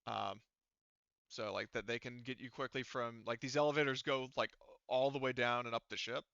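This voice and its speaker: A man with a clear, forceful voice that has some gravel in it runs a tabletop game. He explains in a casual way, thinking aloud, on a dry and slightly muffled close recording. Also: mid-range voice, steady tone, normal speed, no room sound. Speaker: game master.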